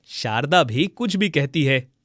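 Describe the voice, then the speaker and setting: A man's voice: a little high, expressive, takes it slowly, fairly bright tone, no echo, studio quality. A man playing a humble, good-hearted village husband in a children's cartoon story, speaking earnestly. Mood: doubtful.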